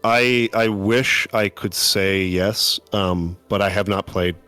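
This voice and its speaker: A man with a very deep, low voice, talking as a guest on a casual live stream. He is thoughtful and easygoing and often pauses to find his words. Faint music plays behind him. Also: steady tone, sharp tone, smooth. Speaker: podcast guest.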